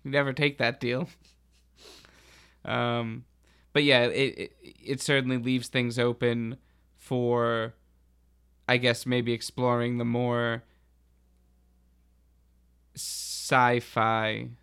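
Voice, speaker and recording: Smooth-voiced man with an even pitch. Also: slow, pauses often. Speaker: podcast host. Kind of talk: casual chat about a TV show.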